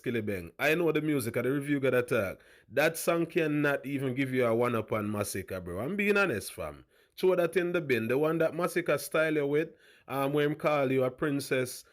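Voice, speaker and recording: Big-voiced man hosting a vlog. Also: medium pitch. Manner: loud, hype, calls viewers fam. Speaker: host. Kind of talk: casual commentary vlog.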